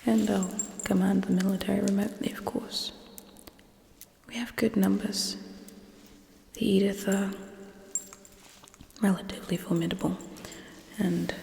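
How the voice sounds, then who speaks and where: A young woman voicing a haughty, menacing alien military ruler in a slow, breathy ASMR whisper, with cold, condescending contempt. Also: medium pitch, flat delivery, a little mellow, a little hiss or hum. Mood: calculating. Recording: echoey room with long reverb.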